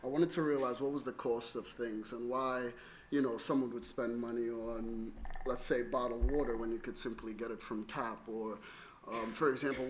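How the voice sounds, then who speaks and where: A man from Trinidad and Tobago giving a personal, explanatory talk to an audience as a panelist and company founder. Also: medium pitch. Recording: noisy live room recording.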